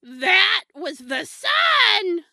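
A woman's voice: very high voice, big pitch swings, pushed and bright, no echo, studio quality, heavily acted. A woman playing a menacing, spiteful old witch in a fairy-tale audio drama, domineering and theatrical. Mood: sly. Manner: theatrical, drawn-out, domineering delivery.

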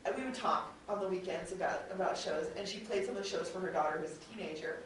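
A woman's voice: fairly low pitch, quick pace. A woman hosting an audio drama panel at a convention, rambling and correcting herself as she goes, often laughing. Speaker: podcast host and audio drama writer. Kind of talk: panel discussion. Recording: very echoey room, distant mic, faint noise.

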